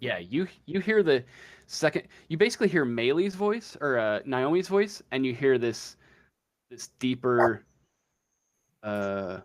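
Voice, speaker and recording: A man improvising narration as game master of a tabletop roleplaying game, often pausing mid-sentence as he goes. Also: medium pitch, big pitch swings.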